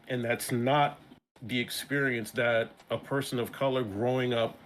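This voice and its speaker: A man with an American New York accent, a guest in a casual interview chat, talking in an animated way and often restarting his words. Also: mid-range voice, slight background noise. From Brooklyn, New York.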